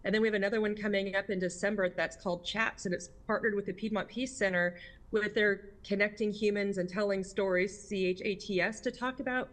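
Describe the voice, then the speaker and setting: An American woman with a mid-pitched voice speaks as an interviewee. She is conversational and candid, leaning on rhetorical questions and quick check-ins with the listener to press her points. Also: a bit bright. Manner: candid, emphatic, often adds "right?" and rhetorical questions. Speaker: interviewee, district governor of a service club.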